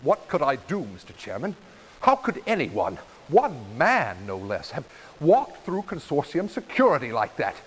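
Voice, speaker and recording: A man's voice: a little high, wildly swooping pitch. A man playing a weak, anxious senator in a video game, flustered and deferential in manner. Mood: defensive. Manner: pleading, halting, flustered. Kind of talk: dramatic video game cutscene acting. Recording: faint room noise, old game audio.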